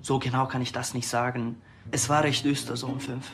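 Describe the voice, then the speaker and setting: An actor playing a puzzled, matter-of-fact member of the public reporting an accident in a TV drama. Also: male voice, medium pitch, little pitch movement, fairly quick, a bit bright, a little hiss or hum, lightly acted. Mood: puzzled, plain. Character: puzzled bearded man reporting a car accident.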